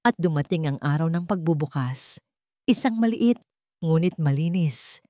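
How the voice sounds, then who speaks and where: A woman's voice: low-pitched, darkish tone, no echo, studio quality. A woman reading a scripted, dramatic story as narrator.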